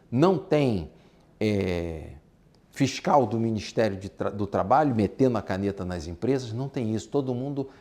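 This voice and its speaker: A man's voice: medium pitch, a little mellow. A man with a Brazilian accent giving an informal business lesson, emphatic, repeating his points and posing rhetorical questions. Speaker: business instructor. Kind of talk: informal lecture on exporting and business.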